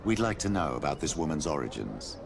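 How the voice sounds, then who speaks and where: An actor playing a grave male companion in a game, speaking in a measured, solemn way. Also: a bass voice, sing-song, creaky, background noise, full character voice. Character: gruff male companion, adult, steady and grave. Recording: game music under voice.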